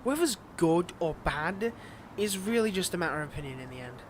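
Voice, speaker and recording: A man's voice: high-pitched, lively intonation, a little fast, slight background noise. A man playing a smug, possessive fantasy villain in a roleplay audio drama, with a taunting, theatrical manner. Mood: wounded, coaxing.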